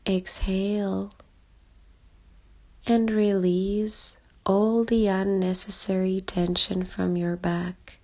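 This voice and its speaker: A woman leading a guided body scan meditation in a calm, soothing way, speaking in short soft phrases with long pauses and a breathy edge. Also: medium pitch. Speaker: meditation guide, life coach. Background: background music.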